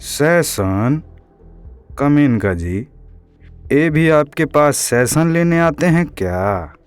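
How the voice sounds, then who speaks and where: A man playing a gruff, bullying rustic policeman with an Eastern Hindi accent in an audio comedy drama. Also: a little high, expressive, slow pace, dry recording, soft background music. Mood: suspicious. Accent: Eastern Hindi (Bhojpuri-Awadhi belt). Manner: curt, abusive, rustic.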